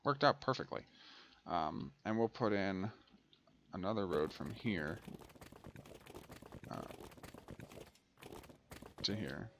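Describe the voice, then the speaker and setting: A man's voice: low-pitched, expressive, takes it slowly, long pauses, fairly bright tone, slight background noise. A man giving casual let's play commentary as a gaming host, thinking aloud and muttering to himself.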